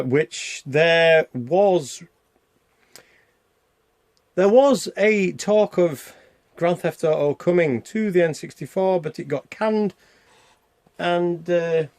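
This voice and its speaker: A man's voice: a little high, a little mellow. A man hosting a game review with casual, rambling, self-deprecating commentary as he plays. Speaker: video game reviewer and channel host.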